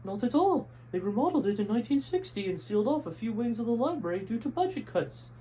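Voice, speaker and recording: A man's voice: extremely high pitch, very animated, quick pace, warm tone, slight background noise, voice pushed up, rough recording. A man voicing an eager, talkative woman librarian in a read-aloud story. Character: chatty middle-aged woman librarian.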